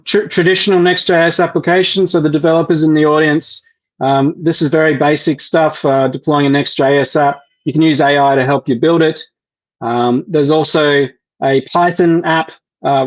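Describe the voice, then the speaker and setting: Halting man presenting a tech demo. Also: fairly high pitch, highs cut off. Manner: halting, many fillers and restarts. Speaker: developer relations presenter. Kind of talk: live online demo presentation.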